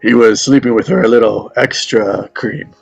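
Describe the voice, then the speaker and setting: A man playing an ailing old father who spins tall tales in a wry, deadpan, unhurried way in a script read. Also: mid-range voice, creaky, soft background music. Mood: deadpan, mischievous. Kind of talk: dramatic script table read.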